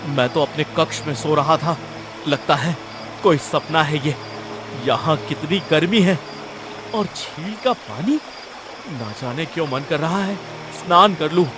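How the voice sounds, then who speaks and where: Man playing a high-voiced imperious cartoon king. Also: unhurried, noisy background, close to an everyday voice. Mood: dreamy wonder. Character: stern, imperious, restless king. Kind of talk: cartoon story voice acting.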